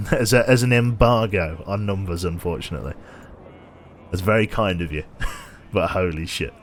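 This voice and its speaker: A man streaming a game with casual commentary, a little tired, his voice slightly nasal and a bit raspy, chatty as he thinks aloud and thanks viewers. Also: sits low, moderate pace, slight background noise.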